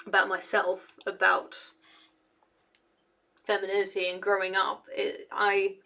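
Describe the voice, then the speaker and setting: A woman's voice: medium pitch, a bit bright. A young woman, an engineer, giving casual commentary to camera in a hesitant, rambling way with many fillers and restarts. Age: young adult. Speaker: engineer and video essayist.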